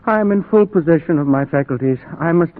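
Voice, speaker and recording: Thin old radio recording, man acting courteous desperate madman, high voice, formal, imploring, horror drama. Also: lively intonation, quick pace, phone-quality sound, close to an everyday voice. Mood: earnest pleading. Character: pleading madman, courteous, desperately insisting he is sane. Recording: band-limited 1940s radio recording.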